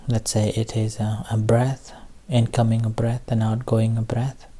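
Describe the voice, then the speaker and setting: Slow, mellow low-voiced man guiding meditation. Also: level tone, husky. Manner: halting, short phrases with long pauses. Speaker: Buddhist meditation teacher leading a group session. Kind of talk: guided meditation instruction, unscripted.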